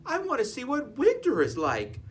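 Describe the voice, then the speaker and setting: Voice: high voice, huge pitch swings, normal speed, recorded from a distance, pitched above the performer's own voice. A reader voicing a stubborn, curious young female tortoise in a children's storybook, plaintive and earnest. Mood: curious protest. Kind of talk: animated children's storybook read-aloud.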